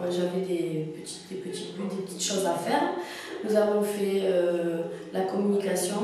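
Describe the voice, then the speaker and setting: A twenty-five-year-old woman giving a calm, steady testimonial about her business project. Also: mid-range voice, a little hiss or hum. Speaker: young entrepreneur. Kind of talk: testimonial interview. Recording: echoey room, distant mic.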